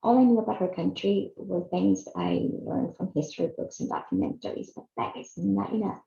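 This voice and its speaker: A woman's voice: medium pitch, very animated, a little fast, gravelly, dark tone. A woman in her fifties from Chile, earnestly narrating a personal video series she hosts. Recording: echoey, slightly dull room sound.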